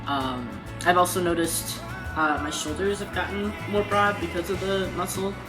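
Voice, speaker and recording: A young man talking casually in a video diary, pausing often and filling gaps with "um". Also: high-pitched, steady tone, poor audio. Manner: halting, frequent ums. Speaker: vlogger. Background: guitar music.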